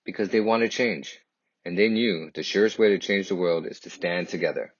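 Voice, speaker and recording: Deep husky man reading a story aloud. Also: normal speed. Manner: emphatic, dramatic phrasing. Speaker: children's picture book reader. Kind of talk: expressive read-aloud storytelling. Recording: dull, lo-fi, echoey room.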